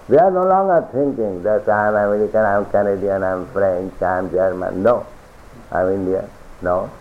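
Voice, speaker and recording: A man giving a philosophical sermon as a spiritual teacher, lecturing deliberately, repeating phrases and reciting scripture. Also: fairly low pitch. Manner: deliberate, repetitive lecturing with chanted scripture quotes. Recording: tinny lo-fi archival recording.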